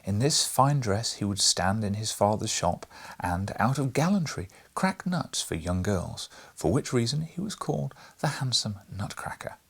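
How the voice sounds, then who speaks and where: A man reading a storybook in scripted narration, expressive and theatrical, with a husky, gravelly voice. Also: on the low side, moderate pace. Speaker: audiobook reader.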